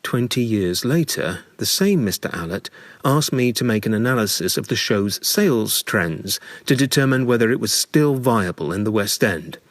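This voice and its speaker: A man narrating a scripted memoir in a measured, dramatic storytelling manner with deliberate pauses. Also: lowish voice, expressive, no echo.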